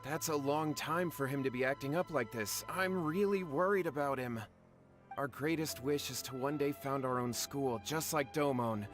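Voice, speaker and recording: An anxious, humble young man from a video game, performed by a male actor. His medium-pitched voice is earnest and polite, always close to imploring. Music plays behind him. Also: moderate pace, lightly acted. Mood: insistent. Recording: background music under the voice.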